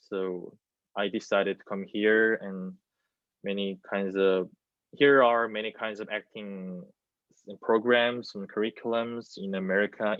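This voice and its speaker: A man in his twenties from South Korea with a Korean accent, answering as a student in a halting, word-searching way. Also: fairly low pitch, fairly bright tone, no echo. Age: 20s. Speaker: theater student. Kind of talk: panel discussion answer. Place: small plain room, indoors. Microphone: wireless earbuds on video call. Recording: muffled video-call audio.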